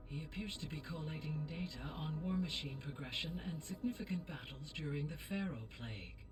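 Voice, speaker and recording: A woman's voice: deep voice, natural voice. Woman voicing a distant, formal female AI. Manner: formal, measured, precise. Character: calm, courteous female artificial intelligence guide. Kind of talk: video game voice acting. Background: game music.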